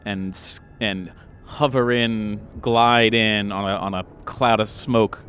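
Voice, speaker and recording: A man's voice: fairly low pitch, fairly even intonation, slow pace, a bit bright, slight background noise. A man chatting casually as a tabletop game player, improvising in a wry, hesitant, sweary way.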